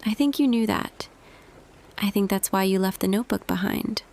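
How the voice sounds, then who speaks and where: A woman playing a tender female boss confessing love to an employee in an intimate roleplay audio, hushed and hesitant, slipping between whisper and soft voice. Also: mid-range voice, normal speed, vocal fry, lightly acted. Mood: yearning, resolved.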